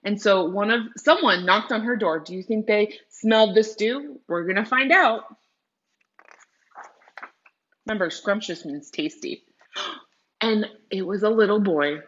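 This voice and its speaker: A woman's voice: mid-range voice, a little slow, pauses often, fairly bright tone, lo-fi sound. Woman teacher reading aloud to children. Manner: explanatory, addressing children directly with frequent questions. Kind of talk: read-aloud lesson with vocabulary explanations and questions to young viewers.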